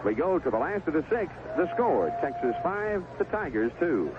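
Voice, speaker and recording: A man's voice: fairly high pitch, sing-song, moderate pace, phone-quality sound, heavy background noise. A man calling a baseball game play by play on a 1970s radio broadcast. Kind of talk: live radio baseball commentary.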